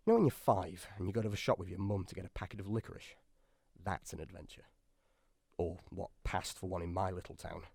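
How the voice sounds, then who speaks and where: A man's voice: lowish voice, a little mellow, dry recording, clean studio sound. A man acting in a radio drama as a wry, anxious science officer aboard a submarine, chatty and dryly sarcastic.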